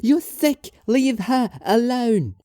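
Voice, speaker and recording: High, angry, protective daughter, acted by a woman. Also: animated, slow pace, warm tone, no room sound, clean studio sound. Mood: furious. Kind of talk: audio drama acting.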